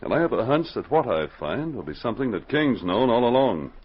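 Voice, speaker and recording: A man acting a steady, resolute Mountie sergeant in a 1940s radio drama, dutiful in manner, on a muffled old recording. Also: medium pitch, fairly quick, slight background noise, natural voice. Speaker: police sergeant character.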